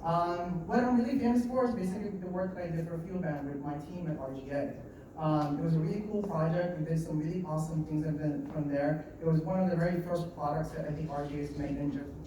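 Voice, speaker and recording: A man in his late twenties giving a live talk at a design meetup. He talks fast and a bit nervously, with lots of fillers and restarts. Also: a little high, dark tone. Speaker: guest speaker at a design meetup. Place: meeting room in an office. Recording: echoey room, distant mic, background noise.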